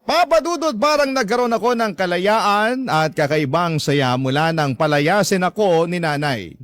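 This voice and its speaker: A man's voice: high voice, expressive, moderate pace, a bit bright, dry recording. A man with a Filipino accent playing a young letter-sender, narrating his story politely and reflectively in a radio drama. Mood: hopeful. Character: young man confessing his story, wounded yet hopeful.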